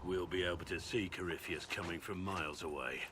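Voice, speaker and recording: An actor playing a proud, bitter warrior in a video game, speaking in a solemn and intense manner. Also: male voice, low-pitched, steady tone, brisk, lightly acted. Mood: grim, resolute. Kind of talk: video game cutscene acting. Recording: clearly noisy ambience behind voice.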